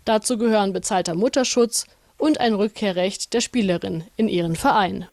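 A woman's voice: mid-range voice, moderate pace, darkish tone, dry recording, clean studio sound. A woman reading a scripted sports news report as a radio reporter.

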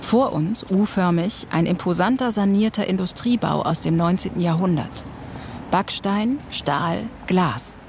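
A reporter reading scripted radio feature narration in measured, terse phrases. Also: medium pitch, noisy background.

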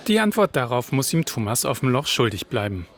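A man reads a scripted news report at a mid pitch. His delivery is even and measured.

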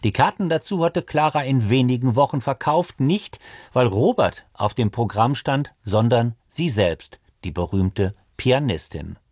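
A man works as a radio music presenter, reading prepared narration. His voice sits in a mid-range pitch and is recorded very dry, with hardly any room sound. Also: animated, a little slow, a little mellow, no room sound. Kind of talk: scripted narration.

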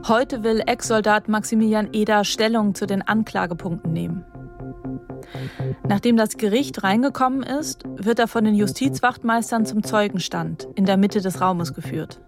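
A woman reporter gives scripted documentary narration in a calm, matter-of-fact way. Her voice sits at a middle pitch, with faint music running underneath. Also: normal speed.

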